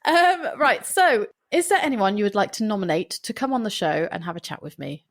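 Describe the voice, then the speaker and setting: A woman's voice: medium pitch, animated, brisk. A woman with a stuffy nose hosting a podcast, asking easygoing questions and reading the outro. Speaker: podcast host. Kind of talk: casual interview and scripted outro.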